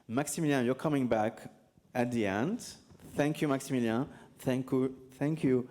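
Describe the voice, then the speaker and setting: A man's voice: medium pitch, moderate pace, darkish tone. Joking conference moderator, a man. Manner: loose, joking, filler-heavy. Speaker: panel moderator. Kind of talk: casual moderating. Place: conference stage.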